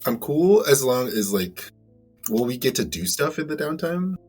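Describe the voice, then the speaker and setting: A man's voice: medium pitch, very animated, normal speed, a little mellow, slightly rough, faint music behind. A man chatting casually as a player on a tabletop game podcast. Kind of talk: casual chat.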